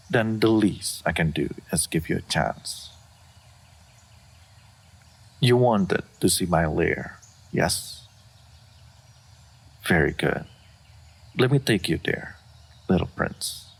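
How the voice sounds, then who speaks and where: Solitary, dignified fantasy dragon, played by a man: gravelly and husky, formal, unhurried, reserved. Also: low-pitched, expressive, heavily acted. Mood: reserved, yielding. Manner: formal, measured, deliberate, reserved. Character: aloof, solitary, dignified old male dragon of great power. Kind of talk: scripted fantasy roleplay audio.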